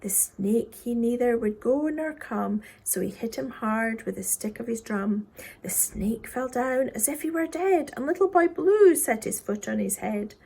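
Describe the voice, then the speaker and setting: A woman reading a children's poem expressively, with a theatrical manner that dips into hushed tones. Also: a little high, lively intonation, a little slow, vocal fry, husky. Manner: theatrical, dropping into hushed whispers. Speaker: story reader.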